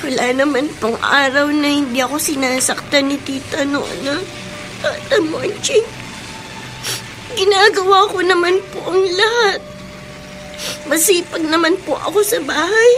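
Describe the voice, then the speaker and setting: Very high-pitched, long-suffering Filipino girl. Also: unhurried, background noise. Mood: plaintive, hurt. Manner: plaintive, polite, submissive. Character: abused, meek young stepdaughter, hurt and long-suffering. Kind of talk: Filipino radio drama acting.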